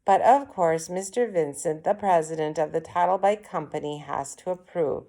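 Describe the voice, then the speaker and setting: A woman's voice: low-pitched, normal speed, warm tone. A woman voicing a friendly female office secretary in a children's storybook, helpful and explaining.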